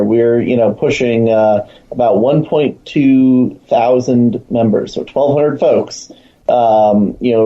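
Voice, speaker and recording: A man's voice: fairly low pitch, dark tone. A man speaking as a guest in casual, promotional talk about a program he helps run, over lo-fi call audio. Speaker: show guest, program organizer.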